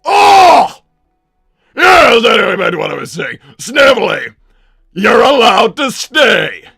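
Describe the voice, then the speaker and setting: A man voicing an egomaniacal, scheming cartoon villain scientist in a theatrical, booming, shouting manner. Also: big pitch swings, takes it slowly, gravelly, slightly rough, dry recording, studio quality, soft background music, full character voice. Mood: furious outburst. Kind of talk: improvised character livestream Q&A.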